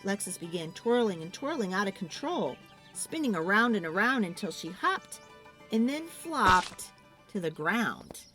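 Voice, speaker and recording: A woman narrating a children's storybook in an expressive, theatrical read-aloud style. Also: mid-range voice, a little slow, soft background music.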